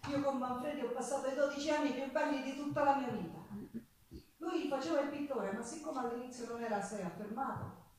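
A woman's voice: sits high, a little fast, far from the mic, a little hiss or hum, close to an everyday voice. An actress playing an earthy, spirited Sicilian folk singer woman, telling her life in a theatrical monologue with a Sicilian accent and bits of dialect and song. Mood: nostalgic, heartfelt. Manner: expressive storytelling, slipping into dialect and song. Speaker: stage actress and singer in a solo theatre piece. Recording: echoey theatre stage picked up from afar. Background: live guitar music.

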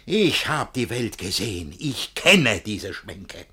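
An actor playing a jealous, lovesick older master who declaims rhymed verse in a classic German radio drama. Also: male voice, lowish voice, big pitch swings, slow, sharp tone, slightly rough, full character voice. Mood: suspicious, resolute. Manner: theatrical rhymed verse declamation, swinging from brooding to outraged.

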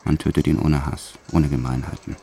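An actor playing a brutal, proud French gangster who tells his life in measured, sombre radio play narration. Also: a bass voice, flat delivery, dark tone. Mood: cold, matter-of-fact.

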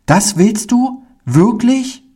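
A man plays a small, timid village boy in a storybook. The voice is pushed up high and sounds naive, anxious and eager, with a lilt and unhurried childlike phrasing. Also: animated. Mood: overjoyed. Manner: anxious, naive, dialect-tinged childlike speech.

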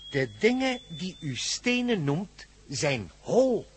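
A man playing an eager, deliberate professor in a Dutch radio play, hesitant and self-important. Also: sits high, sing-song, slightly rough, heavily acted. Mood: earnest. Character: excitable, deliberate male professor scientist, eager researcher.

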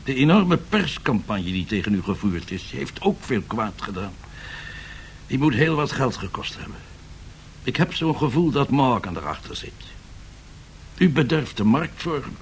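A man's voice: medium pitch, big pitch swings, a little slow. An actor playing a courteous, troubled French statesman with a formal, apologetic manner, in radio drama style. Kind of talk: 1970s Dutch radio drama acting. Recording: noisy 1970s radio drama recording.

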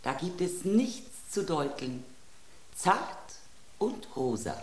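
A woman's voice: low-pitched, big pitch swings, takes it slowly, darkish tone, full character voice. A woman voicing a self-satisfied, sensual table knife in a radio drama, savouring her words with grand refinement. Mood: savouring delight. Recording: roomy, echoing sound.

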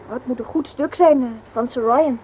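Actress doing a headstrong young woman in an old radio play, high voice, reproachful, noisy recording. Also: fairly quick, a little mellow, natural voice. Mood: casual, coaxing. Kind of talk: Dutch radio play acting.

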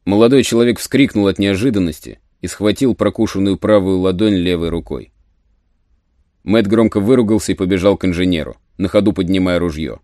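A man narrating an adventure story in a measured, even storytelling manner. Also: low-pitched, no echo, clean studio sound. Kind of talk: scripted narration of a radio play.

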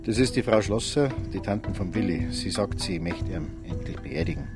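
A man's voice: fairly low pitch, expressive, normal speed, gravelly, music in the background. An actor playing a down-to-earth, placating small-town policeman with a Bavarian accent in a naturalistic radio drama. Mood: matter-of-fact. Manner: matter-of-fact, colloquial.

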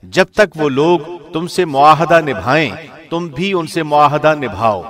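A man reading a scripture translation in a formal, measured, solemn way, phrasing clearly like a professional radio presenter. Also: a little high, lively intonation, fairly bright tone.

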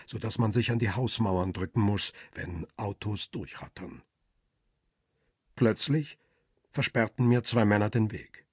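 An actor playing a middle-aged paparazzo who narrates his own crime story in a radio drama, husky and matter-of-fact. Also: lowish voice, dry recording, studio quality. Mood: tense recounting.

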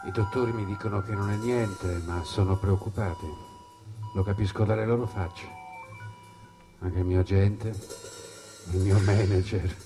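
An actor playing an ailing jazz musician in a dramatic stage monologue, looking back on wild nights from a hospital bed. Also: male voice, lowish voice, measured pace, pauses often, over music, natural voice. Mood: weary, brooding. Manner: dramatic, swinging between brooding and excited.

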